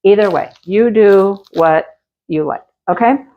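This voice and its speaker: A woman's voice: mid-range voice, a little slow, highs cut off. A woman talks straight to her viewers in a homey, chatty way as she unboxes craft kits. Her voice is a little stuffy, and she has a slight cough from a cold. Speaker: crafting channel host. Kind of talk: casual unboxing chat.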